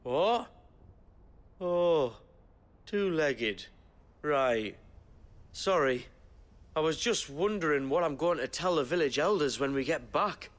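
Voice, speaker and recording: An actor playing a cheeky, shifty young village rogue in a video game, with a glib, colloquial manner. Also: male voice, a little high, expressive, slow pace, long pauses. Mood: sheepish, glib. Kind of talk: video game cutscene acting.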